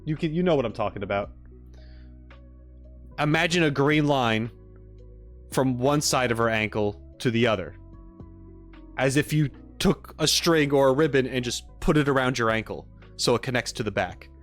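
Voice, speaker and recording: A man chatting informally on a reaction stream, explaining things and thinking out loud. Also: medium pitch, moderate pace, long pauses, soft background music. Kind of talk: casual reaction stream chat.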